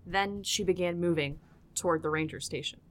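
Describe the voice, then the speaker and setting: Low-pitched woman narrating a story. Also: normal speed. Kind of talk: scripted audio drama narration, storytelling in third person.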